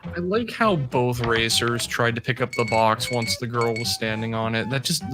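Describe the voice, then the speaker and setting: A man's voice: mid-range voice, normal speed. A man co-commentating a video game race in a casual way, making dry, wry remarks. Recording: music playing behind.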